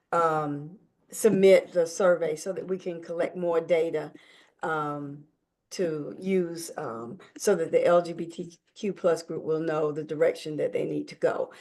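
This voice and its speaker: A woman chairing an online committee meeting, speaking in a measured, encouraging way. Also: on the low side, steady tone, a little mellow.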